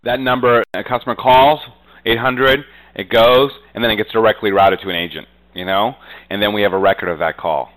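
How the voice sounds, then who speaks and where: A man's voice: on the low side, fairly even intonation, a little fast, fairly bright tone, low-quality recording. A man being interviewed as a real estate agent, talking conversationally and confidently, with frequent verbal tags. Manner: frequently punctuates talk with "you know".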